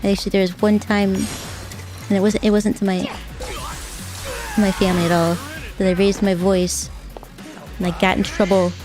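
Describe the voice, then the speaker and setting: A young woman streaming a game, chatting softly and casually with viewers, often repeating words as she goes. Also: medium pitch, level tone, over music. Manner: soft-spoken, halting, repeats words. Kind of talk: casual gaming stream chat.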